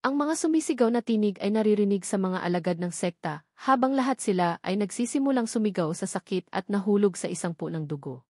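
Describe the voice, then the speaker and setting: Lady reading out a novel, audiobook style, mid pitch, super dry no room sound. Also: animated, a little fast, a little mellow, no room sound. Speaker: narrator. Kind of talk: scripted audiobook-style narration of a web novel.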